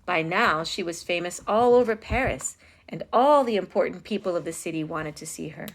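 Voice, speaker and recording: A woman reading a picture book aloud as a storytime host, in an expressive, dramatic style with stressed phrases. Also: fairly low pitch, normal speed, low-quality recording. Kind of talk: expressive children's read-aloud.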